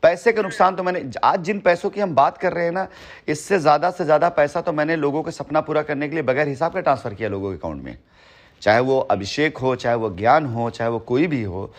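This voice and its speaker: A man's voice: on the high side, lively intonation, brisk. A middle-aged man heading a company, talking assertively and boastfully on a phone call, repeating his points. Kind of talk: heated phone conversation.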